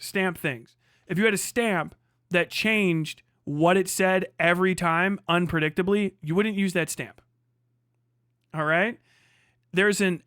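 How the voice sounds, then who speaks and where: Male streamer, high-voiced, dry close sound. Profane, argumentative reaction commentary, emphatic and repetitive. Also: a bit bright, no room sound. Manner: profane, combative, ranting. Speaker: livestreamer reacting to a video. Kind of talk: heated off-the-cuff commentary.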